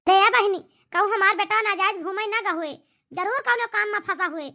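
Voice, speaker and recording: A woman's voice: very high-pitched, normal speed, sharp tone, heavily acted. A woman voicing a weary older village mother-in-law in a cartoon, exasperated and pleading, with an Awadhi accent. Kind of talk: rustic cartoon comedy dialogue.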